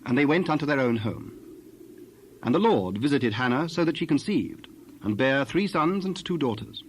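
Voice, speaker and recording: A man narrating scripture from a script in a measured, formal way. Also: mid-range voice, animated, a little hiss or hum.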